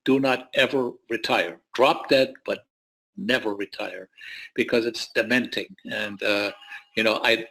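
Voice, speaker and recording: A man's voice: on the low side, fairly even intonation, a little slow, sharp tone, slightly rough, poor audio. A man in his seventies or older, a retired surgeon who grew up in the United States, telling personal stories as an interview guest in a wry, plain-spoken way. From born in Poland, grew up in the United States. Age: 70s or older. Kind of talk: autobiographical storytelling in an interview.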